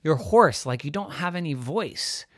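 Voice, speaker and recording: A man's voice: fairly high pitch, lively intonation, unhurried, fairly bright tone, no echo, studio quality, voice pushed up. A man voicing a bossy, know-it-all big sister who talks in an emphatic, teasing way.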